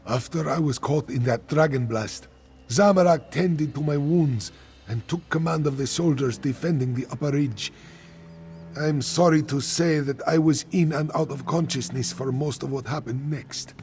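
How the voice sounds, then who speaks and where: An actor playing a weary, grateful wounded soldier in a fantasy game, speaking in an earnest, measured way. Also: male voice, a little high, a little mellow, close to an everyday voice.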